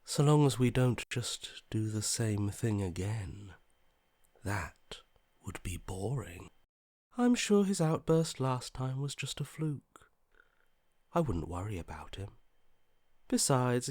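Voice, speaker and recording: An actor playing a detached, morbidly curious inner voice in a video game, with a languid, blasé delivery that softly trails off. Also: male voice, medium pitch, very animated, takes it slowly, no echo, studio quality. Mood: cold indifference. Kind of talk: video game character voice acting.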